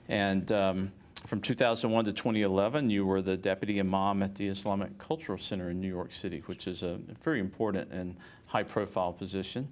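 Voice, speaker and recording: Middle-aged male host, polite, measured, a bit low, studio interview. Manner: polite, measured, uses fillers. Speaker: TV show host, professor. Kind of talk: scripted intro, then interview.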